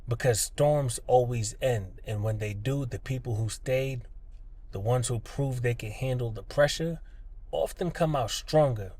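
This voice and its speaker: A man's voice: mid-range voice, a little slow, darkish tone, husky. A man hosting a motivational podcast in a conversational, earnest, plainspoken way, speaking directly and emphatically to the listener. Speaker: podcast host, freelancer.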